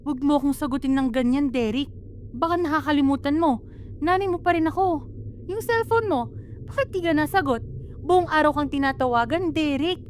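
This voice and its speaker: A woman's voice: sits high, fairly quick, slight background noise, lightly acted. A woman with a Filipino accent playing a worried, nagging mother in an amateur radio drama. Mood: angry scolding. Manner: scolding, exclamatory. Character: worried, nagging, quick-to-scold mother of grown children.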